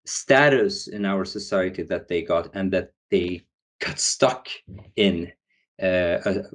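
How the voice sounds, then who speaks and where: Hesitant low-voiced Swedish-raised man. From Sweden. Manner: hesitant, many restarts and fillers. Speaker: guest, founder. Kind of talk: podcast interview.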